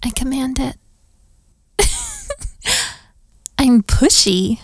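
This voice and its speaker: Bratty princess, twenties, female actor, playful and flirty, very slow delivery, close dry sound, intimate audio roleplay. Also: high voice, darkish tone, breathy edge, dry recording, exaggerated voice. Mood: pushy, teasing. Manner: teasing, giggly, casual modern phrasing, dropping into whispers.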